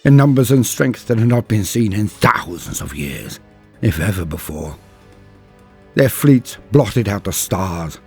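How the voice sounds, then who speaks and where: A solemn, embittered superhuman warrior acted by a male narrator recounts battle in theatrical first person. The voice is low and husky, swinging widely between hushed gravity and proud defiance. Pauses fall heavily, as in a dramatic audio story. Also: sing-song, soft background music, heavily acted. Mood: grave, bitter. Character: grave, embittered male superhuman space-marine veteran recounting a massacre.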